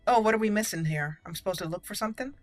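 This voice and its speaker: A woman's voice: medium pitch, very fast, no room sound, faint music behind. A woman hosting a game playthrough, chatting casually and playfully as she thinks aloud. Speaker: gaming channel host.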